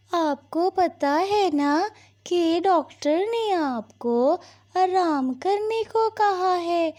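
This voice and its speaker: A woman plays a little cartoon bird girl with an extremely high, swooping voice. She speaks very slowly and earnestly, insistent and devoted, like a caring child in a moral tale. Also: mellow, full character voice. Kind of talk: Hindi moral-story cartoon dubbing.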